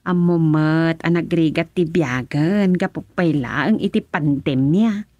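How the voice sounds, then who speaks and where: A woman playing a young wife who is worried and sharp-tongued, nagging her husband with emphatic speech. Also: deep voice, slow, dry recording, dull audio. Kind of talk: radio drama acting.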